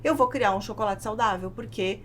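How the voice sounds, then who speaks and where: A woman with a Brazilian accent telling a personal story as an interview guest, in an emphatic and deliberate way. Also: mid-range voice. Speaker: podcast guest, food business founder. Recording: clear background noise, room ambience.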